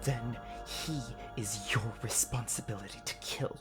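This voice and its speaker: A woman playing a fierce, grieving vizier and poison master in an audio drama, imperious and deliberate. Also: extremely low pitch, faint music behind. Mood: bitter fury.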